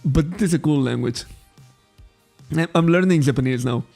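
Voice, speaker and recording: Catalan-accented man, rather high and gravelly, mellow tone, loose rambling livestream chat, faint music underneath. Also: moderate pace. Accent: Catalan-accented English. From Spain. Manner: rambling, self-correcting, laughs often. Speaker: trading card shop streamer.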